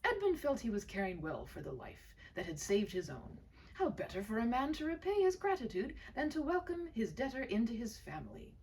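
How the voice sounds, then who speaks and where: A woman reading a story aloud as expressive, dramatic narration, with phrase endings that drop to a hushed breath. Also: fairly high pitch, a little fast, distant mic, slight background noise. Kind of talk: expressive scripted narration of a historical romance tale.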